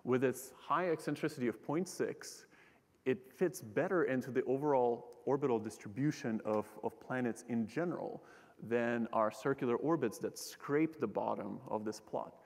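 A young man gives a relaxed, joking public science talk and often stops to restart his sentences. His voice is middle-pitched. He is on a lecture hall stage, and the sound carries a long hall reverb. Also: normal speed, gravelly. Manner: casual and joking, with frequent fillers and restarts. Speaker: keynote speaker, astronomer.

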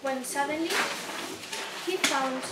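High-voiced young woman, distant, reading. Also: slow. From Spain. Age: young adult. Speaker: narrator of a student puppet show. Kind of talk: read-aloud story narration. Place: small indoor room at a day care centre. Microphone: distant camera microphone. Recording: roomy, distant camera mic, clear noise.

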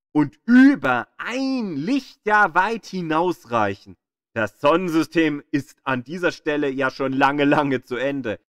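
Slow-paced man narrating over music. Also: a little high, fairly bright tone, no room sound, studio quality. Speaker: narrator of an astronomy audio guide. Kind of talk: scripted documentary narration. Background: background music.

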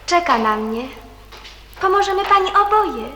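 High-voiced, earnest young girl. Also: very animated, unhurried, slight background noise, heavily acted. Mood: eager, helpful. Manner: earnest, gentle, eager to help. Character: kind, earnest, helpful girl named Kasia. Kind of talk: Polish radio play acting.

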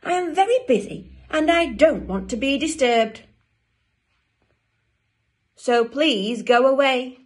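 A woman's voice: mid-range voice, animated, takes it slowly. A woman voicing a grumpy young male storybook penguin, indignant and emphatic. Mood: fed up.

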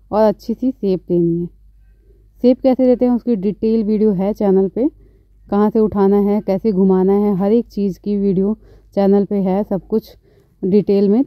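Calm woman beautician explaining threading. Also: mid-range voice, no echo, dull audio. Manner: calm, instructive, repeats phrases. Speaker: beautician, channel host. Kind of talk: step-by-step tutorial narration.

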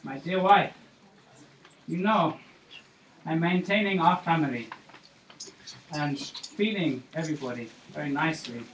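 Guy doing a loud bragging robber, highish voice, far off in an echoey room. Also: measured pace, pauses often, slight background noise. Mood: boastful. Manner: loud, laughing, pleading. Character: boastful male robber, brash and self-justifying.

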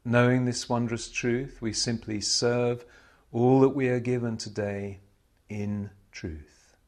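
A man leading a guided spiritual meditation as a teacher, speaking solemnly in short, measured phrases with pauses. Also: mid-range voice, fairly even intonation.

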